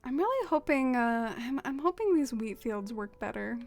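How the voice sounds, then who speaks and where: A woman's voice: high-pitched, big pitch swings, moderate pace, darkish tone, soft background music. A young woman from the American South with a Southern accent, chatting casually and self-deprecatingly while streaming a game. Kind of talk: casual live-stream chat while gaming.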